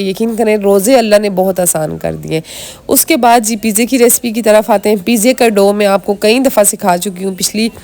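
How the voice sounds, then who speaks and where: A woman in her thirties from Pakistan with a hoarse throat, chatting straight to her viewers in a casual vlog. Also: medium pitch, a little mellow. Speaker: vlogger.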